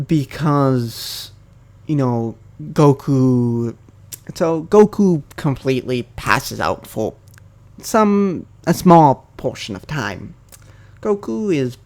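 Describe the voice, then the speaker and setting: Slow, rambling young man. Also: medium pitch, gravelly, darkish tone. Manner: rambling, many fillers and restarts. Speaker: YouTuber. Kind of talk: casual unscripted fan theory talk.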